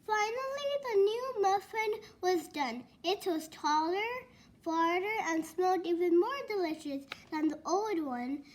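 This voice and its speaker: Slow, very high-voiced female storybook reader. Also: lively intonation. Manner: halting reading with stumbles and repeated words. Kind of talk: read-aloud children's storybook narration.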